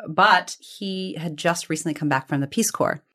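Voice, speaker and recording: A woman's voice: low-pitched, normal speed, a bit bright. Reflective woman telling family stories. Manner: reflective, discursive, hedging with self-corrections. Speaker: interview guest. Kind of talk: conversational personal storytelling.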